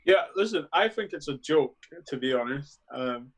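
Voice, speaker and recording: Blunt London man, fairly high voice. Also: expressive, moderate pace, low-quality recording. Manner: blunt, dismissive, emphatic. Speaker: guest panelist. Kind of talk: casual panel discussion.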